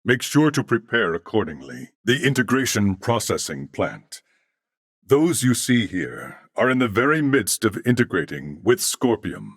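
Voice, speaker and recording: A man voicing a courteous, thoughtful humanoid merged with machine intelligence in a video game, with formal, measured, earnest diction. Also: on the low side, big pitch swings, no echo, clean studio sound, full character voice. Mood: grave, composed. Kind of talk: scripted video game character acting.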